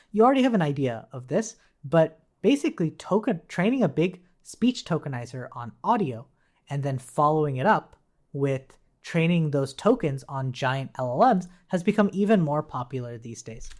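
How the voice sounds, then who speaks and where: A woman with a very low voice talks through a technical tutorial in a casual way. Her pitch moves around in a lively way as she explains and keeps checking that the listener follows. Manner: informal, checks in with "right?". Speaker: tutorial instructor. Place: indoors at a computer.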